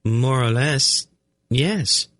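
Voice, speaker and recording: A man voicing an uncanny young boy in a storybook, explaining things calmly and matter-of-factly. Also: medium pitch, very slow, no echo, studio quality, voice pushed up. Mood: calm, knowing. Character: calm, uncanny young blond boy who reads minds.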